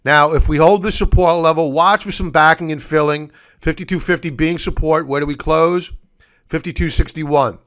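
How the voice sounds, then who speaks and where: Hoarse guy walking thru stock charts, kinda high voice but smooth, steady explainer. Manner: deliberate, explanatory, addresses viewers as folks. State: hoarse, losing his voice. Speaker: market commentary host. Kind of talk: solo market recap over charts.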